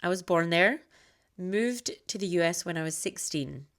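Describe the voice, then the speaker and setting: A woman's voice: fairly low pitch, animated, normal speed. A woman in her thirties with a slight Scottish accent blended with American, hosting a podcast in an earnest, candid, conversational way. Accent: slight Scottish mixed with American. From Scotland. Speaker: podcast host. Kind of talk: personal podcast monologue.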